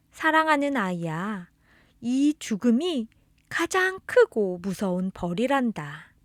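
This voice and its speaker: A woman narrates a children's religious storybook in a fairly high voice, speaking directly to a beloved child. Her delivery is expressive and unhurried. The scripted lines are told as a story. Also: no echo, studio quality. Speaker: storybook narrator reading children's catechism tales.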